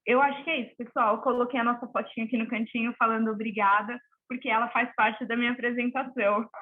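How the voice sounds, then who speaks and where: A Brazilian woman with a fairly high voice presents informally as a teacher. Her voice comes through a tinny, phone-like online call. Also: fairly even intonation, fast. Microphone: video call.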